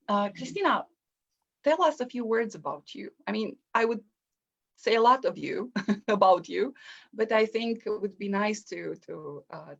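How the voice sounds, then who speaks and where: A woman with a Romanian accent hosting a book launch as an academic, speaking haltingly with many pauses. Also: medium pitch, creaky, slightly rough. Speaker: event host, academic literary historian. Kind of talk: book launch introduction and interviewing. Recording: muffled online livestream audio.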